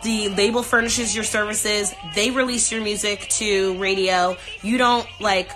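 A woman's voice: medium pitch, steady tone, a little slow, music in the background, rough recording. A woman in her thirties chatting candidly to fans on a phone livestream from her couch at home. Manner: chatty, candid, laughs often. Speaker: singer answering fans on a livestream. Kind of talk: casual livestream chat. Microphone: phone held at arm's length.

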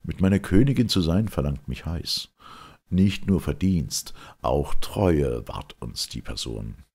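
Male reader for classical verse drama: extremely low, husky voice, solemn and theatrical. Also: expressive, slow pace, darkish tone. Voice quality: gravelly, husky.

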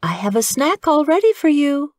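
A woman's voice: sits high, sing-song, normal speed, a little mellow, no echo, clean studio sound, voice pushed up. Woman voicing a patient, motherly badger. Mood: reassuring. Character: calm, caring mother badger, brisk and reassuring. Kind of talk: storybook character voice.

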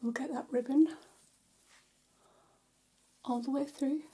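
A woman in her thirties playing a friendly, gossipy vampire hairdresser in a soft, unhurried, breathy ASMR whisper, close to the microphone. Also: high-pitched, steady tone. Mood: attentive, soothing. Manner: soft, unhurried, chatty, attentive. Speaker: ASMR performer. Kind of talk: whispered ASMR salon roleplay. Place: small decorated indoor room.

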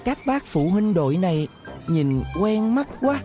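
A woman's voice: medium pitch, big pitch swings, measured pace, music in the background. A woman voicing a young boy in a children's cartoon, plain-spoken, earnest and childlike. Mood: curious.

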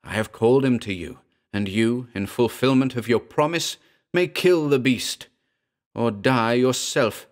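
A man reading as a sharp, imperious demon summoner. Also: mid-range voice, unhurried, voice pushed up, close to an everyday voice. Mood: urgent. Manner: sharp, austere, commanding. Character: imperious demon invoker, a boy in disguise.

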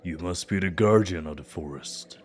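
A man playing a desperate, grieving father who pleads humbly and haltingly in a fantasy audio drama. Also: sits low, slight background noise, natural voice. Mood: pleading. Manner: slow, halting, earnest pleading.

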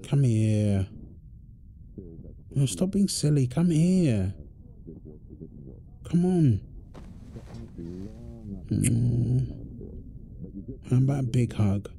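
A man's voice: mid-range voice, expressive, extremely slow, gravelly, pauses often, mellow, a little hiss or hum, exaggerated voice. A man playing a tired, irritable firefighter husband in an intimate roleplay audio drama. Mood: soft, weary. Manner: weary sighs, snappy, then apologetic.

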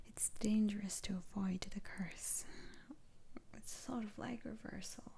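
A woman playing a soothing, eccentric witch doctor in a whispered ASMR roleplay, speaking in a hushed, halting way. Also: mid-range voice, creaky, slight background noise. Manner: slow, hushed, halting delivery with sighs.

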